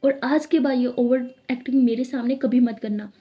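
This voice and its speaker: A woman's voice: high-pitched, steady tone, normal speed, muffled recording, close to an everyday voice. A woman voicing a strict, impatient mother who scolds her daughter firmly. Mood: scolding. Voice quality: firm, scolding.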